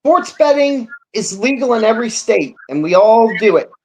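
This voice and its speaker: A man's voice: high voice, big pitch swings, normal speed, low-quality recording. A man co-hosting a casual sports talk show, giving emphatic, indignant opinions with conversational asides.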